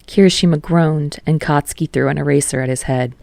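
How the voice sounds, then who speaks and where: A woman's voice: low-pitched, steady tone, brisk, vocal fry, dark tone, dry recording. A woman reading scripted fiction as an audiobook narrator.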